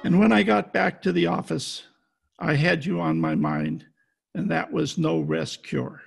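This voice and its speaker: Male actor as hardboiled radio detective: husky, heavy fry, clipped slangy delivery, wisecracking. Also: a little high, very animated, unhurried, soft background music, heavily acted. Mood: wry narrating. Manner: clipped slangy tough talk. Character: tough, wisecracking hardboiled private detective, adult man. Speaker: amateur radio play actor. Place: at home, recorded over video call.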